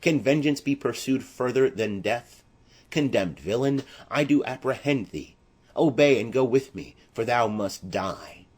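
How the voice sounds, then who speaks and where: A man's voice: mid-range voice, animated, unhurried, slightly rough. A man playing a proud, mournful young noble who declaims formal verse in a tragedy audiobook. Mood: indignant. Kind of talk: classical tragedy audiobook acting.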